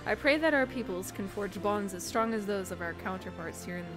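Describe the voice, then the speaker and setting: A woman's voice: medium pitch, expressive, brisk. A woman streaming a game, reading dialogue aloud theatrically and dropping in casual, playful asides. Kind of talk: let's-play commentary and dialogue read-aloud. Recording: game music behind the voice. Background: video game music.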